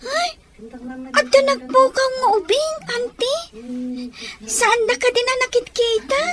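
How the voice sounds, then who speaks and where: Tiny high-voiced timid schoolgirl. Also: unhurried, a crowd in the background. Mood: anxious, fearful. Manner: polite, anxious questioning. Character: timid, innocent young schoolgirl, polite to her elders. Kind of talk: radio drama acting.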